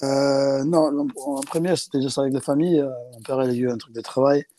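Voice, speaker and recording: Give me a young man from South Africa, speaking hesitant French in a casual interview, with a mellow, dark tone. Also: mid-range voice, steady tone, a little fast. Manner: hesitant, many fillers and restarts in second-language French. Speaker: interviewee, rugby player.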